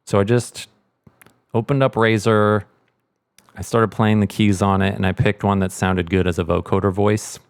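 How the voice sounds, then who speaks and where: Male sound designer, low register, informal walkthrough style, thinking aloud on a dry, close mic. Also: level tone, normal speed, smooth, dry recording. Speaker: sound designer giving a tutorial. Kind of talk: casual screen tutorial.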